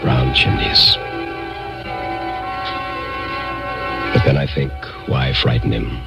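An actor playing a haunted, guilt-ridden man who narrates a radio suspense tale in a brooding, deliberate, formal way. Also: rumbling low, flat delivery, vocal fry. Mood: guilty, brooding. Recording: old radio recording with music.

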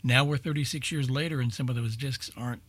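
Husky man chatting, close and dry. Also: medium pitch, fairly even intonation, quick pace, a bit bright, no room sound. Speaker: co-host of an old-time radio online program. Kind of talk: casual group chat.

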